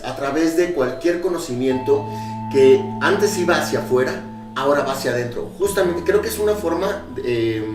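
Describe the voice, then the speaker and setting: An enthusiastic man speaking as a guest in a casual panel. He sounds far from the mic in an echoing room. Clear music plays behind him. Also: medium pitch.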